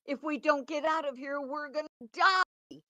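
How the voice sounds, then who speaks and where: A woman's voice: high-pitched, normal speed, bright, forceful tone, lo-fi sound. A woman playing a frightened, pleading wife fleeing danger, in a screenplay table read. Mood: panicked.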